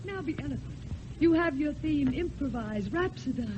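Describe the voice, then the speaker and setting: A woman's voice: sits high, expressive, moderate pace. An actress playing a romantic, demanding young lady with refined, formal theatrical phrasing. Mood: teasing, demanding. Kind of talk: mid-century theatrical classical stage acting. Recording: muffled, noisy old radio recording.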